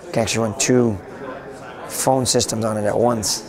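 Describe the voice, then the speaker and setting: A man being interviewed casually at a convention, talking with a raspy, slightly hoarse voice and rambling with lots of restarts. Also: lowish voice, flat delivery, normal speed. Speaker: interviewee, tech blogger. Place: busy convention floor. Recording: noisy crowded convention hall. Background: crowd chatter.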